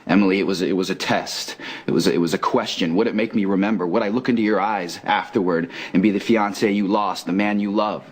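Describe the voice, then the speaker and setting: An actor playing a conflicted amnesiac man in a romantic drama, speaking earnestly and haltingly, often repeating his words. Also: deep voice, steady tone. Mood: frustrated. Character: anguished amnesiac man, conflicted, torn between two loves. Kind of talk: soap opera style romantic drama acting. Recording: loud music under the dialogue.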